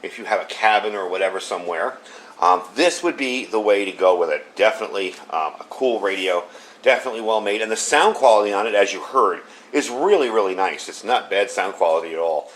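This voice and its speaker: A man's voice: lowish voice, fairly quick, a bit bright. A man giving a casual product review in a conversational, unscripted, matter-of-fact way.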